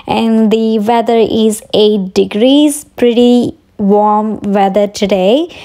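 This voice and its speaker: Chatty woman with gravelly voice. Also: a little high. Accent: Indian English. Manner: warm, chatty, addresses viewers directly. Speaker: vlogger. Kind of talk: casual daily vlog narration. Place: home kitchen and outdoors.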